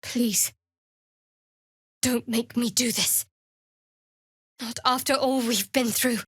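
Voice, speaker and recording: Casting: young female cleric for fantasy RPG; guarded, cool, dry wit, slightly high voice, close dry recording. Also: fairly even intonation, slow pace, fairly bright tone, breathy edge, no room sound, exaggerated voice. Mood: earnest. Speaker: video game character. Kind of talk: scripted fantasy game dialogue.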